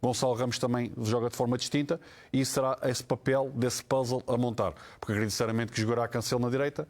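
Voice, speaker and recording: A man's voice: medium pitch, level tone, fast, darkish tone. A man with a European Portuguese accent giving analytical football commentary on a panel show. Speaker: football pundit.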